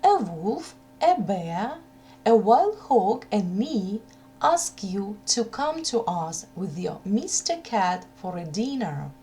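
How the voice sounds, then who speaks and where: A woman's voice: mid-range voice, big pitch swings, slow, warm tone. A woman voicing a timid, polite male hare who carries messages in a children's folk tale. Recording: noticeable background hum.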